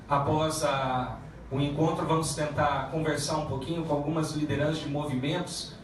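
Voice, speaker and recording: A man who is a federal deputy giving a halting public speech at a meeting, with many restarts and fillers. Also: fairly high pitch, a little hiss or hum. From Paraná, Brazil. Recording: echoey room from far off.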